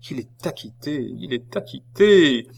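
A man's voice: medium pitch, expressive, measured pace, pitched above the performer's own voice. A man voicing an anxious, proud captive woman in an audiobook, tense and pleading. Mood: despairing murmur.

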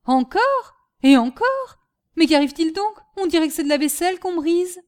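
A woman's voice: high voice, animated, brisk, a little mellow, voice pushed up. A woman voicing an exasperated, mocking middle-aged man, master of a household, with a scolding, emphatic delivery in a storybook reading. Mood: alarmed, exasperated.

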